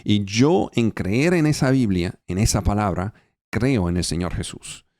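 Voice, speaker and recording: Low-voiced non-native man preaching. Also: darkish tone, no echo, studio quality. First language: not Spanish. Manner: halting, with fillers and restarts as a non-native speaker. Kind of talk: sermon.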